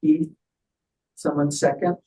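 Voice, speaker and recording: A meeting chair with a medium-pitched voice and a slow, hesitant delivery. The speech is procedural, with halting readings of motions and figures, and is picked up from some distance. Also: sing-song, mellow, echoey room. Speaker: chair of a town finance board meeting.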